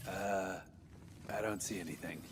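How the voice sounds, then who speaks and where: An actor playing a self-assured, slightly dim player in a fantasy video game. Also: male voice, low voice, fairly even intonation, a little slow, a little hiss or hum. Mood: puzzled.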